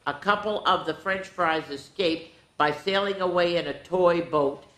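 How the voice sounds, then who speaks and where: A woman hosting a children's story time, reading a storybook aloud in an animated, playful way with dramatic asides to the young listeners. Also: lowish voice, measured pace. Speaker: children's storyteller, show host. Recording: roomy, echoing indoor sound.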